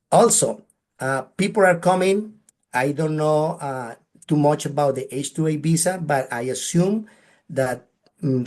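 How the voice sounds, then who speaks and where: Colombian-accented male presenter, slightly higher voice, earnest advisory webinar talk. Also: a little mellow. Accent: Colombian Spanish. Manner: earnest, advice-giving, frequent "right?" tags. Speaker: guest speaker.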